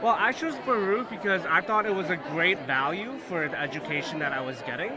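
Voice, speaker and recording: A man's voice: high-pitched, lively intonation, brisk. A young man in his twenties, a graduate student, answering interview questions earnestly with lots of "you know" fillers. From Hong Kong, raised in New York. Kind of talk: casual interview. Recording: loud crowd behind. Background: crowd chatter.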